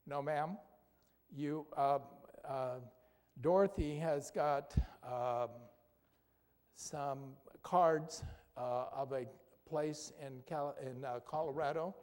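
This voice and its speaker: A man with a fairly high voice gives a casual health talk in a church hall, turning to the crowd with questions and tagging his points with a quick "okay?". A long hall reverb hangs on every word. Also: darkish tone. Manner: conversational, asks the audience questions, tag "okay?". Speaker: doctor giving a health talk. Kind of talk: health lecture with audience questions.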